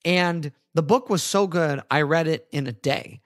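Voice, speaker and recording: Hoarse, raspy male podcast host. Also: on the high side, unhurried. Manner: earnest, chatty, self-correcting. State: hoarse, losing his voice. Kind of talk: solo podcast monologue.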